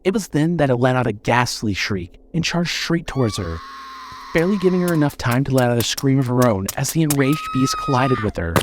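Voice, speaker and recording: Male horror audiobook narrator, dramatic and suspenseful, with a widely moving, expressive pitch. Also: slight background noise. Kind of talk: scripted horror fiction narration.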